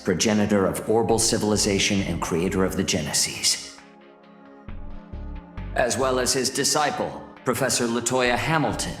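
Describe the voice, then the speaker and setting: An actor voicing an urbane, smugly courteous corporate manager in a video game, speaking in formal, measured, wordy phrases. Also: male voice, on the low side, a bit bright. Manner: formal, verbose, genteel, measured. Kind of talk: video game cutscene voice acting, expository. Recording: roomy echo, music underneath.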